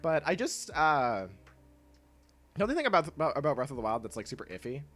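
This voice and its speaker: Lively young man with a stuffy nose. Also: a little high, quick pace, faint music behind. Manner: rambling, self-interrupting, laughs often. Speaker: streamer. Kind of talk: casual livestream chat, reading and answering chat.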